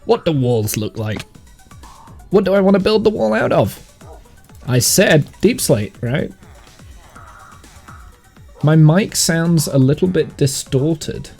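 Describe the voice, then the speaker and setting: A man chatting casually on a gaming livestream, thinking aloud in a rambling way, with a slightly croaky, sniffly voice. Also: on the high side, lively intonation, slow pace, long pauses, a little mellow, faint music behind. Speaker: live streamer and game master. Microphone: streaming mic monitored through headphones.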